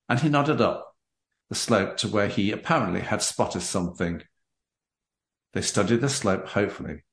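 Echoey low-voiced man hosting a book podcast. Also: vocal fry. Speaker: podcast host. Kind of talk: book interview and reading aloud. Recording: roomy, echoey sound.

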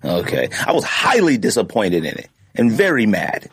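A man's voice: on the low side, huge pitch swings, moderate pace, slightly rough, dry recording, clean studio sound. An American man hosting a podcast in casual, rambling chat, often checking in with the listener as he goes. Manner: rambling and conversational, with frequent "you know". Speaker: podcast host. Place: podcast studio.

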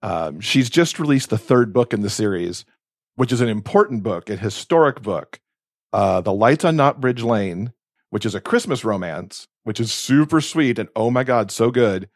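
A man's voice: on the low side, lively intonation, moderate pace, no echo. Man gushing casually with a dry, close sound. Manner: effusive, emphatic. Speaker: podcast co-host recommending books. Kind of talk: casual enthusiastic book chat.

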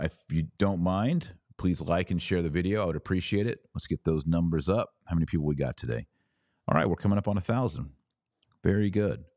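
A man's voice: low-pitched, darkish tone, no echo, clean studio sound. An American man speaking as a podcast host, giving earnest, emphatic religious commentary that sits between a sermon and live-stream chat. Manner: earnest, emphatic, builds lists phrase by phrase.

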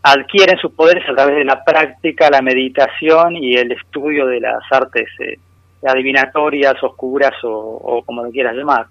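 A man explaining things conversationally as a podcast guest, often pausing to find his words. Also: mid-range voice, steady tone, dry recording. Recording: dull, dry, radio-like sound.

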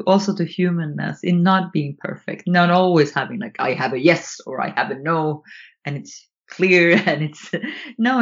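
A woman's voice: medium pitch, vocal fry, muffled recording. A woman, a native Swedish speaker with a Swedish accent, talking reflectively as an interview guest. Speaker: guest, author and trainer in nonviolent communication.

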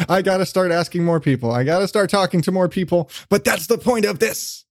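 Higher-pitched man hosting a casual podcast. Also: a little fast, dry recording, clean studio sound. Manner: self-deprecating, profane, jokey. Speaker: podcast host. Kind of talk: casual, rambling interview chat.

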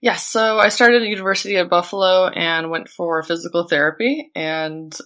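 A woman's voice: on the low side, moderate pace, a bit bright. A woman in her thirties with a North American accent, chatting casually as an interview guest. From born in Lithuania, grew up in Canada and the United States. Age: 30s. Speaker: podcast guest, digital advertising professional.

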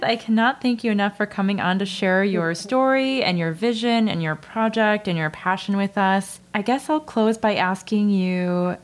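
Woman, podcast host, encouraging, affirming, casual chat. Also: mid-range voice.

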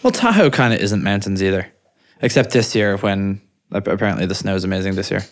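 A man with an Irish accent, a startup founder joking and telling stories in a relaxed, casual chat. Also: low-pitched. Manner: relaxed, joking, drawn-out storytelling.

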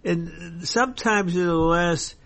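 Slow-talking man in his nineties. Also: high-pitched, creaky, a bit bright. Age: 90s. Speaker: guest, host's grandfather. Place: home condominium. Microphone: table mic moved close.

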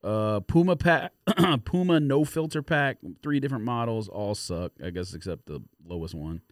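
A man with a very animated, swooping delivery in a dry, close recording. He talks like a casual podcast host, slangy and blunt, teasing as he runs through his list. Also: mid-range voice, moderate pace, no echo. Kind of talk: casual banter reviewing sneaker releases.

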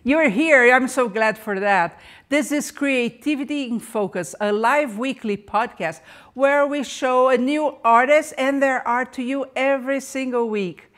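Brief: enthusiastic female podcast host, slightly high voice, video-call sound. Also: a little slow, fairly bright tone. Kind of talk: live podcast interview.

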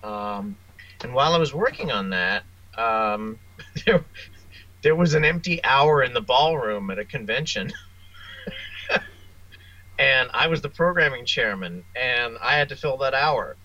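Bright, forceful man telling stories casually. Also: mid-range voice, lively intonation, unhurried, pauses often, lo-fi sound. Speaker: interview guest, science fiction writer. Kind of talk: casual storytelling in an interview.

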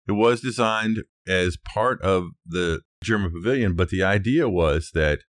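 Deep-voiced man chatting about design history. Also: dry recording, clean studio sound. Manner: conversational, hedging, thinking aloud. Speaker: podcast co-host. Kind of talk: casual design discussion, telling researched history.

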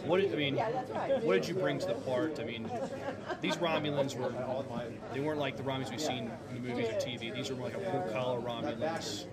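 A man's voice: medium pitch, lively intonation, fairly quick. A man co-hosting a casual podcast interview at a convention, restarting his questions as he goes. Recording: distant mic, loud convention crowd. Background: busy convention crowd.

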